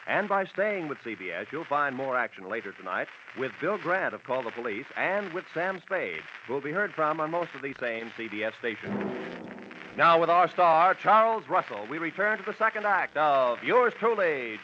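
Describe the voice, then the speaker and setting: A man's voice: fairly high pitch, very animated, moderate pace. A man reading scripted promotions as a polished, emphatic 1940s radio network announcer. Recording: noisy, muffled 1940s radio broadcast.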